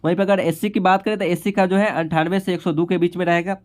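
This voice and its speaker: Man, rapid delivery, slightly high pitch, informal instructor, repetitive check-in tags. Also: flat delivery. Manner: repetitive confirming tag after nearly every phrase. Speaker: exam-prep instructor. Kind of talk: informal explainer of exam cut-offs and statistics.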